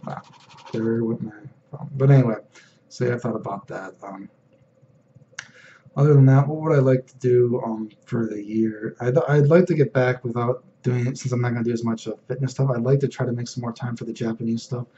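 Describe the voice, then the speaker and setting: Young man with a deep, mellow voice. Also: fairly even intonation, brisk, highs cut off, a little hiss or hum. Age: young adult. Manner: rambling, filler-heavy, frequent "you know what I mean". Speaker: vlogger. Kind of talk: casual personal vlog.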